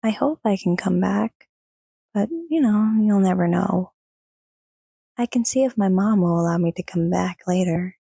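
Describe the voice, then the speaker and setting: A woman's voice: mid-range voice, normal speed, gravelly, warm tone, slightly rough, dry recording, clean studio sound. A woman playing a thoughtful young daughter in an audio drama, speaking hesitantly and wistfully. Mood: hesitant, worried. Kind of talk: audio drama acting and narration.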